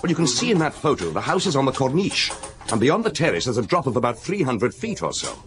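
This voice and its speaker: An actor playing a young, earnest police inspector in a radio drama, answering respectfully and briefly. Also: male voice, mid-range voice, big pitch swings, quick pace, close to an everyday voice.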